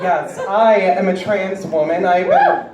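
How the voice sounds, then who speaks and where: A woman with a deep voice doing a stand-up comedy set with a wry, deadpan delivery, heard on stage before a live audience. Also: slow, highs cut off, very noisy. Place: large indoor venue with live audience. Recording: echoey hall recorded from far off, loud crowd. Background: audience cheering and laughing.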